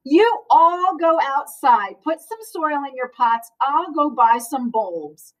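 A woman reading a storybook voices a cheerful, literal-minded and easily puzzled woman substitute teacher, with exclamatory, drawn-out words. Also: high voice, lively intonation. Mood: instructing. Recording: distant microphone in a room.

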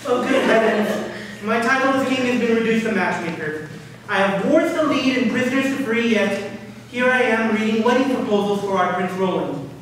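A man's voice: sits high, expressive, moderate pace, a bit bright. A man playing an exasperated, domineering king and father in a stage comedy, declaiming pompously. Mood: weary, pompous. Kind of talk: theatrical comic stage acting. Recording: echoey hall from far off, faint noise.

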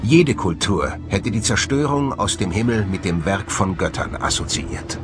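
A man's voice: lowish voice, very animated, a little slow. A man giving scripted narration as the presenter of a documentary. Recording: music behind the voice. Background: background music.

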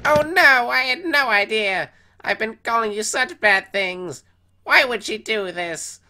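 An exuberant, affectionate cartoon skeleton brother, acted by a woman, theatrical and earnest. The voice is bright and forceful and takes its time, with music underneath. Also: a little high, expressive, measured pace. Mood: dismayed. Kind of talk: comic dub voice acting. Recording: voice over background music.

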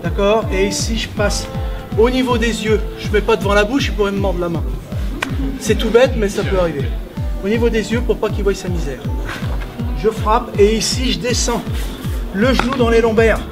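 A middle-aged man with a French accent, demonstrating karate techniques to a class and naming each move in brisk, instructive phrases. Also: sits high. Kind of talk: live martial arts demonstration. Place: large indoor dojo hall. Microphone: camera mic at a distance. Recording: music and crowd noise over the voice.

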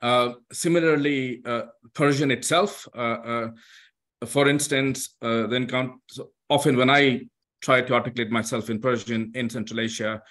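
Accented male discussant, hesitant and searching, mid-pitched voice. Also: fairly even intonation, fairly bright tone. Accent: foreign-accented English. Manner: halting, many fillers and restarts. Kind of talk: academic discussion.

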